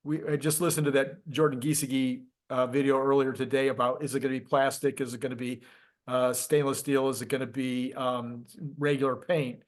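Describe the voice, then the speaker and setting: A man hosting a casual interview, posing questions and musing out loud about his own hunches. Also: mid-range voice, flat delivery, brisk. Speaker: host interviewer. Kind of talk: casual chat.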